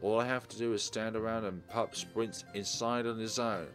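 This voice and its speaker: Low-voiced man reading a game aloud. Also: fairly even intonation, normal speed. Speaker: let's play host. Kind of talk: reading a visual novel aloud with casual commentary. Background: soft game music.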